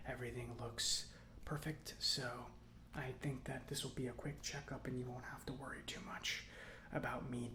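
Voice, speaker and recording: A man playing a gentle, reassuring robot mechanic in a soft-spoken ASMR roleplay, talking in an unhurried, slightly hesitant way. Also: medium pitch, roomy sound, noisy background, close to an everyday voice.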